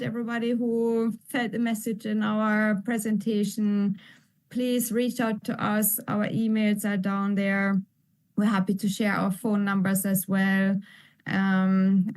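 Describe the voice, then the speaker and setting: A woman presenter giving closing remarks in a hybrid online session, inviting the audience warmly and thanking them at length. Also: mid-range voice. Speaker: conference presenter. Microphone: video call.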